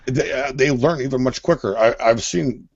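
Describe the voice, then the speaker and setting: A man's voice: mid-range voice, normal speed, highs cut off, a little hiss or hum. A man, a father, earnestly explaining his view in casual chat with fillers along the way. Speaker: parent.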